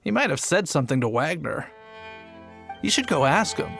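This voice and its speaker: A man's voice: on the high side, big pitch swings, moderate pace, vocal fry, a bit bright, soft background music, exaggerated voice. A man voicing an easygoing young knight on gate duty in a fantasy video game, casual and musing.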